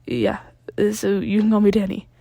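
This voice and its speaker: A woman's voice: medium pitch, lively intonation, normal speed, gravelly, warm tone, no echo. A woman voicing an easygoing, smirking teenage boy with a casual, friendly manner in a story reading. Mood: wry. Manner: laid-back, casual. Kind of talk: storybook character dialogue in fan fiction reading.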